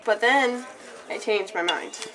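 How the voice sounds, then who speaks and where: A woman's voice: a little high, takes it slowly, a bit bright, low-quality recording. Playful young woman cooking at home. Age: young adult. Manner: playful, giggly. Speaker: daycare worker cooking. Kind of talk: casual chat while cooking. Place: home kitchen, indoors. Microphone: camera mic at a short distance.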